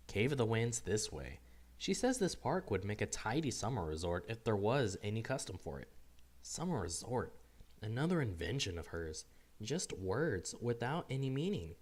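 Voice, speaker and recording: A man voicing a grumpy, swooping-voiced solitary first man. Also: fairly low pitch. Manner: weary, fussy, deadpan complaining. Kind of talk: first-person storybook diary narration.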